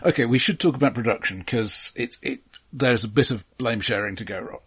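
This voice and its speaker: A man's voice: medium pitch, fairly quick, a bit bright, slightly rough. A man co-hosting a film podcast in casual discussion, speaking hesitantly and repeating words as he thinks aloud.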